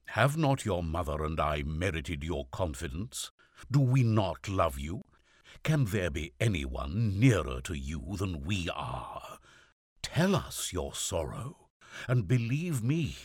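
A stern, heavy old priest in a literary audiobook, acted by a male reader. He speaks loudly and formally, with a husky harshness and pained insistence. The delivery swings widely in pitch. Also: no echo, clean studio sound, heavily acted. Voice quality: loud, harsh.

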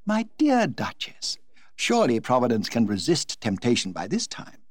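A man voicing a vivacious forty-year-old society hostess in a high, gushing, theatrical tone. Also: huge pitch swings, moderate pace, no room sound, clean studio sound.

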